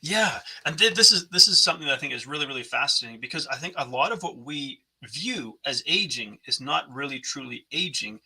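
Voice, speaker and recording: A man's voice: mid-range voice, animated, brisk, hardly any pauses, sharp tone, husky. A man hosting a podcast interview, talking in a thoughtful, searching way with frequent restarts. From North America. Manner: thoughtful, many restarts and fillers. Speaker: podcast host. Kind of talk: conversational interview.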